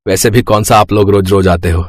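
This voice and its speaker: A man acts as an accommodating middle-class husband in an audio family story. His voice is fairly low and dryly recorded, with a mild, hesitant manner. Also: fairly even intonation, a little fast, dry recording, clean studio sound, close to an everyday voice. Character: mild, worried middle-class husband and deferential younger brother. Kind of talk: scripted Hindi moral-story acting.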